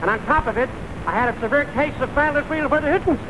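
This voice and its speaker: An actor playing an indignant cousin who blusters earnest double-talk nonsense in a 1940s radio comedy. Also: male voice, extremely high pitch, quick pace. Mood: indignant, blustering. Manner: earnest, blustering gibberish delivery. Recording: noisy vintage radio recording.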